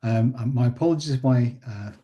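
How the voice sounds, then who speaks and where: A man with a croaky voice giving a scientific lecture over a video call, explaining steadily with filler pauses. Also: medium pitch, dark tone, highs cut off. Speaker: invited research speaker.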